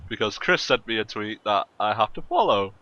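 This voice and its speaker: A man's voice: on the low side, animated, normal speed, sharp tone, no room sound. A man joking crudely and swearing as he chats casually as a co-host on a group podcast. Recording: dull, muffled voice-call microphone.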